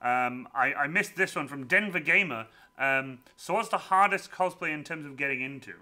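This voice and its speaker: A man hosting a live stream from a room at home, chatting casually with a guest and reading out viewer messages. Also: on the high side. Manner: lively, chatty, quick asides to viewers. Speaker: streamer host.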